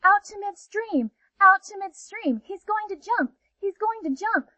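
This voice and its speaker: A woman's voice: expressive, moderate pace, dry recording. A woman voicing a chorus of stubborn ray fish who talk like defiant children. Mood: excited. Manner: high, childlike, insistent. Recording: dull, dry audio.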